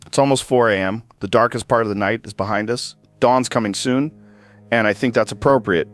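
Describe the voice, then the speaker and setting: An actor playing an earnest late-night radio host who speaks in a reflective, measured way. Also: male voice, mid-range voice, no room sound, faint music behind, natural voice. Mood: hopeful. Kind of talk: audio drama monologue.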